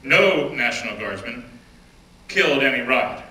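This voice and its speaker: A man's voice: medium pitch, lively intonation, moderate pace, sharp tone. Man reading aloud in an echoey hall. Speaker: reader at a dramatic reading. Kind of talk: first-person dramatic reading of an oral history. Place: stage or event room. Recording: roomy, distant mic with faint background noise.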